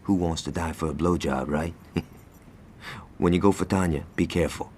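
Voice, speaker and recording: A man's voice: deep voice, steady tone, normal speed, darkish tone, a little hiss or hum, close to an everyday voice. An actor playing a brash, hot-tempered male gang enforcer in a game cutscene, blunt, sarcastic and profane. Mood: scheming. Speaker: gang lieutenant in a crime game. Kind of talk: video game cutscene acting.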